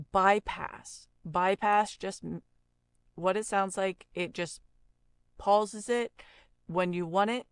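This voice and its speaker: A woman hosting an informal audio software tutorial, explaining step by step in a conversational, unhurried way. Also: lowish voice, clean tone, no echo. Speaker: audio effects tutorial host, voice-over artist.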